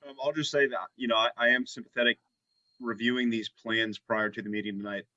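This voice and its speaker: A man's voice: mid-range voice, fairly even intonation, a little fast, bright, forceful tone, dry recording, lo-fi sound. A man commenting as a commissioner in an unscripted meeting, with tentative, qualified phrasing.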